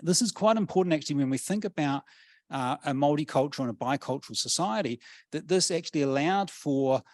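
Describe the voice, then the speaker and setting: A middle-aged man with a New Zealand accent, giving a thoughtful panel talk with many pauses and restarts. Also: on the high side, animated, darkish tone, no room sound, clean studio sound. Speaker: panel speaker, policy academic.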